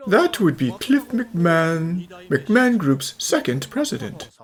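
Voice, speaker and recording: A man playing a polite, busy mansion butler in an animated mystery fan dub, speaking in a prim, formal way. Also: fairly high pitch, slow. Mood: polite, hurried. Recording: music under the voice.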